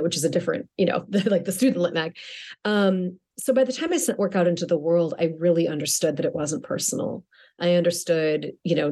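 A woman's voice: fairly low pitch, a little mellow. A middle-aged woman author answering interview questions in a chatty way, often restarting her sentences and laughing lightly.

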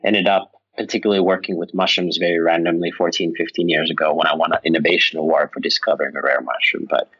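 A man's voice: low voice, fairly even intonation, fast talker, hardly any pauses, fairly bright tone, lo-fi sound. A man from Finland, a company founder speaking as a guest in a casual interview. He rambles a little, with many fillers and restarts. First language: Finnish.

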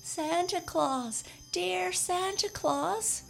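A woman's voice: sits low, animated, moderate pace, creaky, faint music behind, low-quality recording. Woman voicing a timid, tearful scarecrow boy. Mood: sobbing plea. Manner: tearful, anxious pleading. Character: forlorn young male scarecrow, timid and eager.

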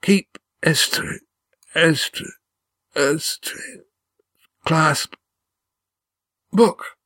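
A man acting a dying man, gasping and halting. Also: on the high side, sharp tone, dry recording, studio quality, voice pushed up, heavily acted. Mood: urgent, gasping. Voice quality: breathy, labored, halting. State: out of breath, in pain. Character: gravely wounded dying man, gasping, urgent but fond.

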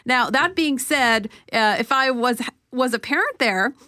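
A woman's voice: high-pitched, expressive, measured pace, sharp tone, dry recording, studio quality. A woman co-hosting a casual chat, speaking in a mild, non-confrontational way. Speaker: co-host.

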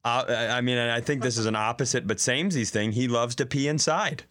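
A man's voice: mid-range voice, quick pace, a bit bright. A man co-hosting a comedy podcast, chatting casually with wry, self-deprecating riffs. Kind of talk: casual joking banter.

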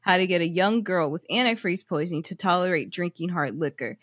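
A woman's voice: on the low side, a bit bright, no room sound. Woman podcaster, close dry sound. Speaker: podcast co-host. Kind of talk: casual podcast chat and reading posts aloud.

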